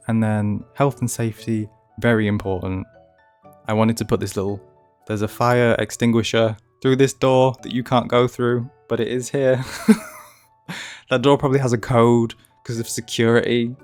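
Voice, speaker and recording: A man's voice: medium pitch, measured pace, long pauses, a little mellow, faint music behind. A man with a cold, chatting casually and ranting a little while commenting on a video game build. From United Kingdom. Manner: chatty, ranting, self-deprecating asides. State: has a cold. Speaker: gaming channel host.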